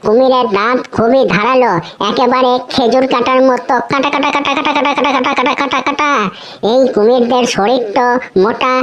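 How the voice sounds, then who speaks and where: Woman voicing a high-pitched, cheeky cartoon schoolboy. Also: steady tone, a little fast, lo-fi sound, natural voice. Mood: earnest reciting. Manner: sing-song recitation with repeated words. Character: cheeky, overconfident schoolboy reciting absurd crocodile essays. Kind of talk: comedy cartoon voice acting.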